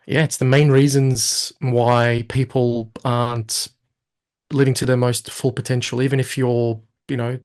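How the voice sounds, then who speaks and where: Animated man telling his story. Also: medium pitch, unhurried. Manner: animated storyteller, quotes himself in lively asides. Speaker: guest. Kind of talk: casual interview talk.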